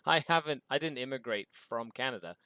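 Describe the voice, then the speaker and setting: A man's voice: mid-range voice, brisk, bright, forceful tone, clean tone, no room sound. A man from Mexico with an English accent, hosting a livestream and reading out viewer questions in an upbeat way before answering them. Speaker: show host.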